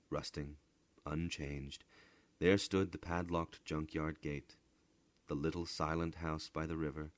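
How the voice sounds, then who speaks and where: A man narrating a literary story dramatically, with deliberate, theatrical delivery that sometimes drops to a hush. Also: rumbling low, dry recording. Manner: theatrical, deliberate, hushed at times. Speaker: storyteller, voice actor.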